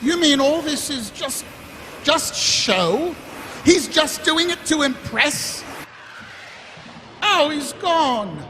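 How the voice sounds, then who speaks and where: An actor playing an exasperated, bossy city watch captain who exclaims in disbelief in a live radio drama. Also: male voice, very high voice, lively intonation, slow pace, long pauses, sharp tone, heavily acted. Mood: incredulous, wry. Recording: noisy echoey hall, live stage recording.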